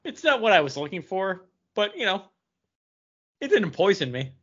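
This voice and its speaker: A man co-hosting a casual podcast, thinking aloud in a halting, conversational way. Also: high-pitched, sing-song, fairly bright tone, husky. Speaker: podcast co-host. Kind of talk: casual chat. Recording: dull lo-fi recording.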